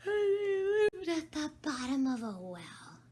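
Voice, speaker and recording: A woman voicing a sleepy, feeble little dormouse with a hoarse, thin voice and a drowsy, sulky manner. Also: sits high, sing-song, slow pace, a little mellow, pitched above the performer's own voice, full character voice.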